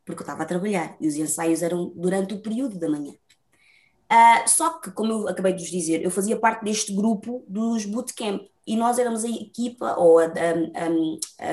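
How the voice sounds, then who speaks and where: A young woman from Lisbon with a Lisbon Portuguese accent, a lively, talkative dancer telling her story as an interview guest, laughing often. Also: mid-range voice.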